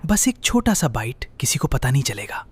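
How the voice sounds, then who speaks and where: A man's voice: mid-range voice, sing-song, close to an everyday voice. An AI-generated man's voice playing a guilty, sheepish cartoon potato with a nervous, confessional manner. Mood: sneaky whisper.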